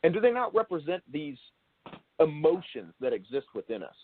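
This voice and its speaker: A man's voice: a little high, very animated, moderate pace, slightly rough. A man hosting a show, talking conversationally as he interviews a guest. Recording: dull phone-like call audio.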